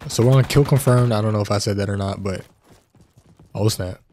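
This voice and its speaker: A man with a stuffy nose from a cold, hosting casual gameplay commentary, chatty and prone to excited outbursts. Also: fairly low pitch, a little fast, a little hiss or hum. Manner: chatty, addresses viewers as fellas, excited outbursts. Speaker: gaming channel host. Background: faint game gunfire.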